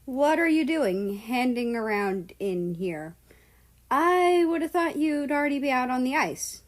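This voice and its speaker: A woman's voice: sits high, big pitch swings, a little slow, voice pushed up. A woman voicing a cocky, teasing young man in a read-aloud story. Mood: puzzled.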